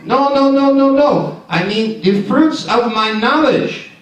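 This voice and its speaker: A man's voice: very high voice, takes it slowly. A man voicing a chatty, ingratiating male know-it-all in a live puppet show, coaxing the audience to join in. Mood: patient. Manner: eager, coaxing, leads the audience in call-and-response. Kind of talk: live shadow puppet theatre. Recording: echoey hall, distant mic.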